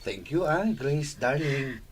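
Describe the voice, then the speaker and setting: A man's voice: mid-range voice, animated, slow pace, background noise. A man with a Filipino accent acting an affectionate, sweet-talking husband and father in a radio drama. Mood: tender.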